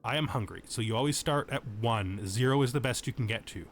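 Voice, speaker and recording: A man with a mid-pitched voice hosts a gaming let's play in tutorial style. He reads rules text aloud and explains it in an informal way. Background noise is present in the recording. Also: fairly quick. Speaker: host of a gaming channel. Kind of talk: tutorial let's play commentary, mixing reading game text with casual explanation.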